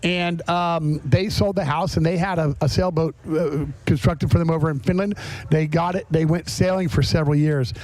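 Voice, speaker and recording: Higher-voiced American man hosting a podcast. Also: steady tone, normal speed, a little mellow, no room sound, low-quality recording. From Florida, US. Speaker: podcast host. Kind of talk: casual chat interview. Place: on location at a garage.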